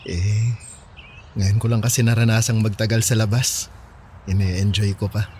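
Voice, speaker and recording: A man's voice: fairly low pitch, flat delivery, normal speed, a little mellow, slight background noise, lightly acted. A man playing a shy, lonely, nerdy young fan in a radio drama, earnest and reserved, with a Filipino accent.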